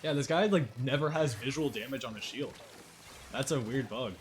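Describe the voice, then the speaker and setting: A man's voice: medium pitch, moderate pace, a little hiss or hum. A man livestreaming a video game, chatting casually with viewers and commenting on play in informal, slangy speech. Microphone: streaming microphone. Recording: music playing behind the voice. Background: music.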